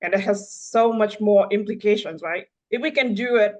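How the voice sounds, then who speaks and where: A woman's voice: medium pitch, darkish tone. Woman from Vietnam. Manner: halting, frequent fillers and restarts. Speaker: neonatology fellow. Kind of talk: panel discussion.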